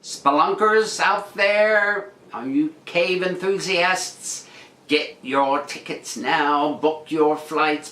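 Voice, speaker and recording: A man reads aloud and adds his own casual remarks in a dry, deadpan way. His delivery is unhurried and his voice is bright and forward. The recording is echoey, like an untreated room. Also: fairly high pitch. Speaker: host reading tabloid articles aloud with commentary. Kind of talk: reading aloud with casual wry commentary. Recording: roomy echo with noticeable background noise.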